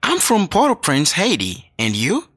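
A man reading scripted English dialogues and exercise questions for a learners' audio book, slow and clearly enunciated. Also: high voice, wildly swooping pitch, fairly bright tone, no echo, studio quality. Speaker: textbook audio reader.

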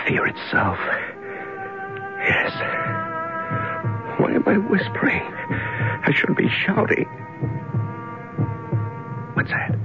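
An actor playing a frightened, bewildered male prisoner in a radio drama, halting and stammering as he repeats himself. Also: medium pitch. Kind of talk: 1970s radio drama acting. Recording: muffled 1970s radio recording, music behind.

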